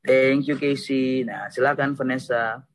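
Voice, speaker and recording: A man's voice: medium pitch, fairly even intonation, moderate pace. A man hosting a casual video-call interview, friendly, switching between Indonesian and English as he asks questions. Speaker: host.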